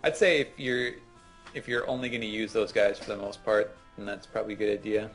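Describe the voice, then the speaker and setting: A man with a fairly low voice talks casually as a gaming co-host. He explains and advises in a hesitant, conversational way. Some music sits in the background. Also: steady tone, a little fast, a bit bright. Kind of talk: casual chat, game advice.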